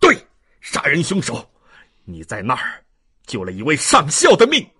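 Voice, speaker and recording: A man performs a passionate young nobleman in an audiobook, full of indignation. The voice is very husky and leaps dramatically in pitch, with forceful, emphatic, declamatory phrasing. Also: wildly swooping pitch, normal speed, exaggerated voice. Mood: furious, scornful. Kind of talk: audiobook character acting.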